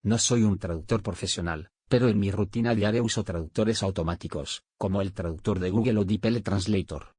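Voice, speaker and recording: A man reading written answers aloud in an even, measured way. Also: on the low side, husky, no echo. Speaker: reader of survey answers. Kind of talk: scripted reading.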